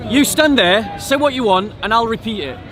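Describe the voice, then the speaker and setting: A man's voice: extremely high pitch, lively intonation, moderate pace. A man debating religion in the open air, insistent and often repeating himself. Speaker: street debater. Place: outdoors in a busy public park. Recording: busy outdoor crowd behind. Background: crowd chatter.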